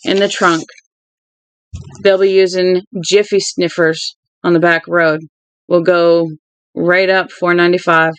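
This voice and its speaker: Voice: mid-range voice, level tone, unhurried, pauses often, a bit bright, clear, resonant tone, no echo, close to an everyday voice. A reader voicing a cocky, crude young older brother from a novel, slangy, blunt and teasing. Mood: cocky, scheming.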